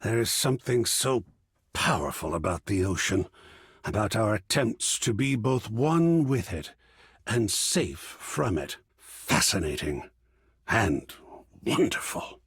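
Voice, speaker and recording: A man's voice: medium pitch, gravelly, husky, dry recording, studio quality, heavily acted. An actor voicing an effusive, grandiloquent art connoisseur in a video game, savoring his words with dramatic flair. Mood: rapt, reverent. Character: effusive, theatrical art connoisseur, a living piece of artwork. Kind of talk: theatrical game character dialogue.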